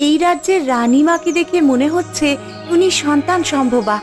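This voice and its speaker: A woman's voice: normal speed, faint music behind, close to an everyday voice. A woman voicing a scheming spirit yearning for rebirth in a fairy-tale cartoon, theatrical and determined. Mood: eager, determined. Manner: high-pitched, theatrical, determined.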